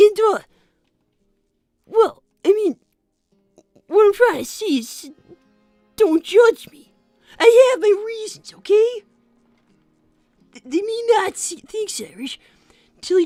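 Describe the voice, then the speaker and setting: A woman's voice: very high-pitched, sing-song, a little slow, long pauses, darkish tone, dry recording, studio quality, faint music behind, full character voice. A woman voicing an anxious, earnest young boy fox who stammers defensively on a character livestream. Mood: flustered, defensive. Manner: stammering, flustered. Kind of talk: improvised character livestream with donation reading.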